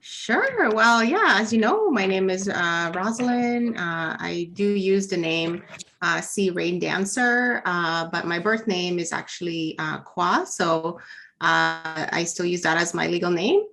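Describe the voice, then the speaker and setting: A woman's voice: lowish voice, lively intonation, measured pace, gravelly, a bit bright, slight background noise. A woman in her thirties from the Philippines, a business coach answering interview questions in a friendly, casual way with many fillers. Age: 30s. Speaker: business leadership coach, guest.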